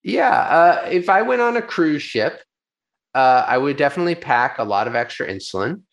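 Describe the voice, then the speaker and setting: Laughing, animated male interview guest. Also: on the high side, moderate pace, highs cut off. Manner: laughs easily, restarts sentences, animated. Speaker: actor and filmmaker guest. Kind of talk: casual interview chat.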